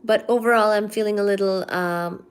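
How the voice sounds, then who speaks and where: A young woman from India hosting a casual livestream, chatting with viewers and reading their comments aloud in a relaxed, low-energy mood. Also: moderate pace, clean tone. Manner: chatty, teasing, reads chat aloud and answers it. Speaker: livestream host. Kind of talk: casual live-stream chat with viewers.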